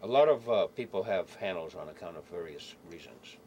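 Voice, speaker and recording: Male, casual chat, quick delivery, slightly low voice, amateur recording with some vehicle noise. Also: animated, darkish tone.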